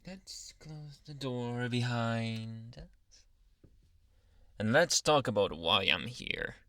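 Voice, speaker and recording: A man voicing a dominant but awkwardly polite alpha male werewolf in an intimate, halting ASMR roleplay, with sighs and murmured asides. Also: mid-range voice, expressive, pushed and bright, dry recording, exaggerated voice. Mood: stalking, teasing. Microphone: close, dry microphone.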